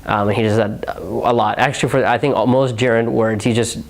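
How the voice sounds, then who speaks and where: A young man from Houston, Texas, speaking in a low, fairly flat voice. He answers interview questions casually, with a steady stream of "um" and "like" fillers. He sounds like a relaxed university student thinking out loud. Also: a little fast, vocal fry. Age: young adult. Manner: relaxed, heavy on filler words like "um" and "like".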